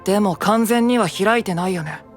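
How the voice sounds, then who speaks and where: A man's voice: high-pitched, moderate pace, soft background music, lightly acted. A man playing a cool, guarded, perceptive young knight in an anime-style voice drama, blunt and detached.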